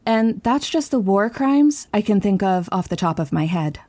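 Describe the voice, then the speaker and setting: A woman's voice: medium pitch, expressive, moderate pace, warm tone, no echo, close to an everyday voice. An actress playing a stern, commanding female military commander with a clipped, no-nonsense manner in an audio drama.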